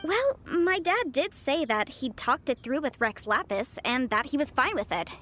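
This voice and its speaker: A high-voiced, chatty young legal advisor. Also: female voice, lively intonation, moderate pace, a bit bright, slight background noise. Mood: casual, matter-of-fact. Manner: talkative, articulate, businesslike. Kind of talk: video game voice acting. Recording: music under the voice. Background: background music.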